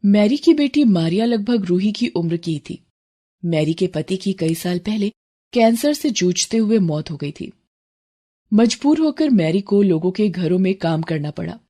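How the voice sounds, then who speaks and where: A woman's voice: medium pitch, animated, a little slow, warm tone. A woman narrator reading a scripted moral story for children.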